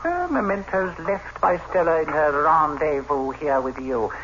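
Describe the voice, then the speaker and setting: Vengeful, theatrical husband, animated, old radio. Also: male voice, on the high side. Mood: menacing, controlled. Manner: theatrical, gloating, deliberate, with dark chuckles. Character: vengeful, unhinged husband coolly narrating his perfect murder. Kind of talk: old-time radio suspense acting. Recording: dull, noisy old radio recording.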